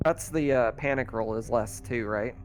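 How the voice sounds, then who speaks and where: A man's voice: medium pitch, normal speed, slight background noise. A man running a tabletop game as game master, chatting casually with the players after a session. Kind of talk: casual post-game chat.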